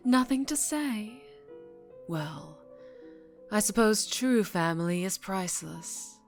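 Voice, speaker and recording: A woman acting as a dangerously charming mafia boss in an ASMR roleplay, teasing and softly threatening, unhurried, slipping into whispers. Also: mid-range voice, animated, faint music behind. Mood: coolly threatening.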